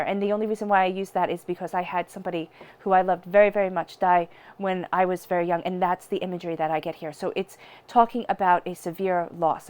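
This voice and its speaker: A woman's voice: lowish voice, clean tone. A woman with a stuffy, congested voice from a cold, giving a chatty tarot reading straight to her viewers. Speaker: tarot reader. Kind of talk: direct-to-camera tarot reading.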